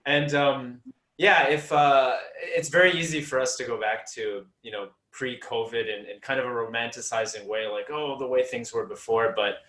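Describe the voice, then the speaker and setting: A man's voice: medium pitch, steady tone, normal speed, fairly bright tone. Man talking in an echoey room. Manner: hesitant, many fillers and restarts, laughs easily. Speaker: panel guest, startup founder. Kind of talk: casual panel talk. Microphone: video call. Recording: roomy echoey call audio.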